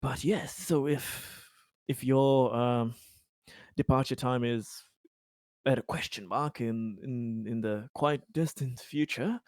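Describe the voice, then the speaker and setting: A man's voice: mid-range voice, slow, no echo, clean studio sound, natural voice. A man playing a courteous, eager-to-please council official in a tabletop game, speaking in a polite, rambling, stammering way. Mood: polite, welcoming. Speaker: tabletop roleplay player. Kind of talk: improvised in-character tabletop dialogue.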